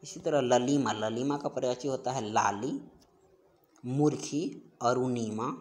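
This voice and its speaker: A man's voice: mid-range voice, a little slow, a little mellow. A man teaches in a question-and-answer style, explaining and restating each answer. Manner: repetitive, explanatory, stressing answers by restating them. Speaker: Hindi exam-prep teacher. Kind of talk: question-and-answer grammar lesson.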